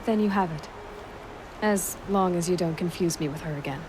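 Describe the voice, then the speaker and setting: A woman's voice: on the low side, normal speed, noisy background, natural voice. A woman playing a determined, plain-spoken young warrior in a video game, talking directly. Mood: calm, resolute. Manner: direct, resolute, unadorned. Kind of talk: video game cutscene acting.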